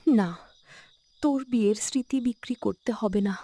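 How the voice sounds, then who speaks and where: A woman's voice: on the high side, moderate pace, dark tone. A woman voicing a self-sacrificing elder co-wife in a village cartoon drama, speaking earnestly and tenderly. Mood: tearful resolve.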